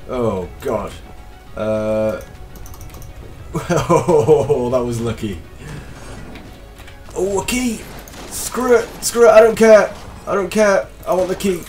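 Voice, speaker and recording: A man with a slight cold, casually commentating his gameplay as a let's play host, excitable and self-deprecating. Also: medium pitch, distant mic.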